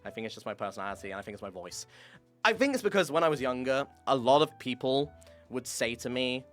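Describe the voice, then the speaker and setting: A man's voice: medium pitch, fast talker, soft background music. A young man streamer in casual chat, candid and self-deprecating, swearing freely, with a throat worn from screaming. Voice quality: says his voice is monotone, tries to liven it.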